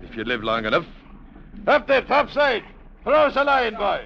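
An actor playing a gruff, cynical river-boat captain in a blunt, sardonic radio-drama style. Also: male voice, high-pitched, wildly swooping pitch, moderate pace, heavily acted. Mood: barking orders. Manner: blunt, sardonic, shouts orders. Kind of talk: 1940s-50s radio drama acting. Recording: dull, noisy old radio recording.

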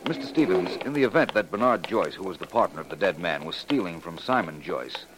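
An assured private investigator in a vintage radio play. His low voice moves with lively inflection, polite and measured, on a faintly noisy recording. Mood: genial, businesslike. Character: courteous, confident male private investigator. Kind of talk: 1940s radio drama acting.

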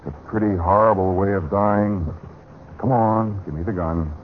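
An actor playing a shrewd, steely man cornering a killer in a suspenseful Golden Age radio drama, speaking deliberately and with command. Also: fairly low pitch, natural voice. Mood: calm menace. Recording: noisy tinny vintage radio recording.

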